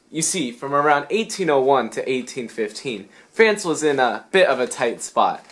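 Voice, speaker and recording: A man's voice: medium pitch, fairly bright tone. A young man narrating a scripted student history puppet show, with wry, theatrical asides. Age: young adult.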